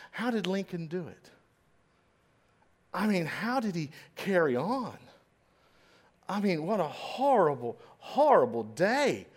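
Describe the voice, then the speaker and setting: A man preaching a sermon in a church, dramatic and swinging between loud and hushed, with a slight stutter at times. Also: high voice. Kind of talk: sermon storytelling. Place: church stage.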